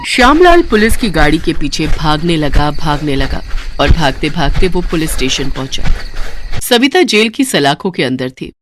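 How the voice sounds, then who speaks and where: A woman's voice: sits low, sing-song, normal speed, noisy background. A woman narrating a story in a dramatic, scripted storytelling style.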